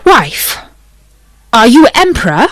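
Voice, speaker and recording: A woman's voice: on the high side, sing-song, takes it slowly, no room sound, pitched above the performer's own voice. A woman voicing a timid old fisherman who pleads meekly with his wife and with a magic fish in a storybook reading. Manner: pleading, meek. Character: meek, timid old fisherman, the wife's husband.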